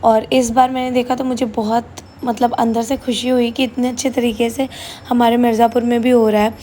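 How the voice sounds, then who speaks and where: A young woman with a slightly hoarse, mellow voice narrates a vlog voice-over in a relaxed, chatty way. Her pitch stays fairly flat. Faint noise sits behind her. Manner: casual, mixing Hindi and English. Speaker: vlogger. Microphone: voice-over recorded separately.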